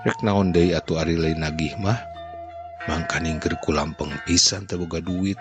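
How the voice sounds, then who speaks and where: A man reading a classic folk tale aloud in a steady, measured way, over background music. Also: low voice. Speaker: storyteller. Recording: music playing underneath.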